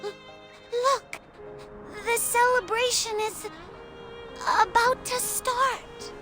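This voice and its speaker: An actress playing a sweet, innocent and excitable little girl in a video game, halting and wide-eyed. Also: extremely high pitch, music in the background, exaggerated voice. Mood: shy, grateful.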